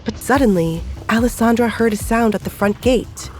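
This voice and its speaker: A woman's voice: fairly high pitch, moderate pace, over music. A woman giving scripted, dramatic story narration as the narrator of an audio drama.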